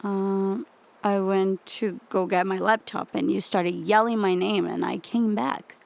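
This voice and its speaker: Unhurried, creaky-voiced bewildered girlfriend. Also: mid-range voice, fairly even intonation. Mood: casual, puzzled. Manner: casual, puzzled. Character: casual, bewildered female partner, loving and wounded. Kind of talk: audio drama acting.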